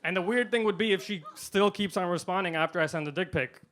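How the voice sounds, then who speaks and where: A man doing a stand-up comedy set, delivering punchlines deadpan and swinging between loud and quiet. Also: high-pitched, fast talker, a little hiss or hum. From India.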